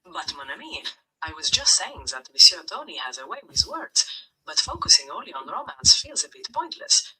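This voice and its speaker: A woman's voice: deep voice, sing-song, moderate pace, sharp tone, poor audio. A synthetic woman's voice of an AI chatbot, replying in a polite, stern and formal way. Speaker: AI chatbot persona. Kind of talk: formal scripted replies.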